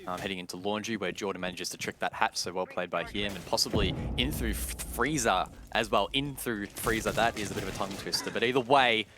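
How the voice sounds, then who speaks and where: A man giving measured, analytical esports commentary, explaining play as a broadcast caster. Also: fairly low pitch, music in the background. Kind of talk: analytical live game commentary.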